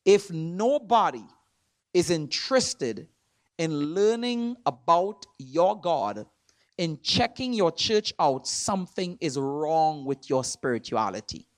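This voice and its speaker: A man from Trinidad with a Trinidadian accent, preaching in a church. He stresses words hard, repeats himself and swings between loud and soft. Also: fairly high pitch, big pitch swings, takes it slowly, no room sound. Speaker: guest preacher. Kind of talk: sermon. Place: church sanctuary.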